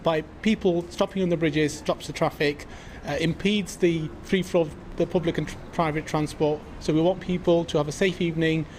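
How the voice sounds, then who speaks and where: A man's voice: on the high side, steady tone, moderate pace, darkish tone. A man giving an interview statement as a police spokesman, with traffic behind him.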